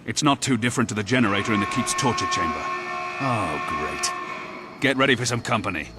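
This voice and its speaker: Low-voiced, dryly sardonic game officer, acted by a man. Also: animated, a little fast, heavy background noise, close to an everyday voice. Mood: alarmed, tense. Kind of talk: scripted video game dialogue. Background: music.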